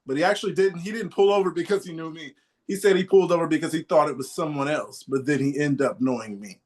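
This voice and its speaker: A man's voice: a little high, fast. A man in his thirties telling personal stories in casual podcast chat, with rambling quoted asides. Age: 30s. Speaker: podcast host.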